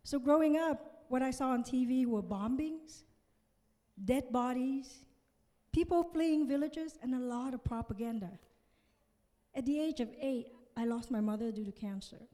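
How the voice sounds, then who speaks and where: High-voiced Vietnamese-accented woman speaking in a hall. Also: measured pace, warm tone. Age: 50s. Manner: earnest, addresses the audience with questions. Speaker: motivational keynote speaker. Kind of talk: motivational conference speech with personal story. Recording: reverberant large hall, stage PA.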